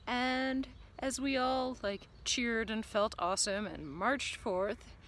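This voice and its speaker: A woman's voice: on the high side, slow, fairly bright tone, a little hiss or hum. A woman vlogging a reflective, earnest monologue to camera, musing aloud with lots of fillers. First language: not German. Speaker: vlogger and business coach.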